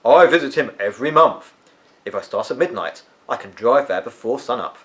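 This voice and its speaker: A man's voice: mid-range voice, lively intonation, a little fast, fairly bright tone, natural voice. Man voicing Peyton, a weary, emphatic eccentric uncle. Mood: curious, earnest. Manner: irritated, musing, emphatic. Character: Uncle Peyton, weary, tetchy but kindly tall eccentric uncle. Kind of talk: storybook character voice.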